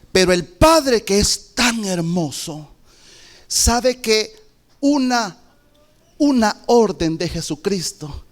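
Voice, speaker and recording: A middle-aged man with a Salvadoran accent preaching a testimony sermon in a church, slow and emphatic, with sudden shouted peaks. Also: fairly high pitch. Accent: Salvadoran Spanish.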